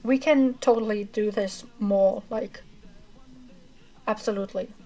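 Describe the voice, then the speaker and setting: A woman streamer chatting casually with her viewers as she wraps up a livestream, rambling with fillers and a self-described monotonous voice. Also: mid-range voice, unhurried, faint music behind, low-quality recording. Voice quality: monotonous reading voice. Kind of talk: casual chat ending a livestream.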